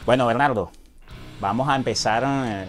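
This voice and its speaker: A man's voice: mid-range voice, moderate pace, faint music behind. A man hosting a casual interview, talking at length and leaning on filler words. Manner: rambling, leans on filler words. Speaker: interviewer, host.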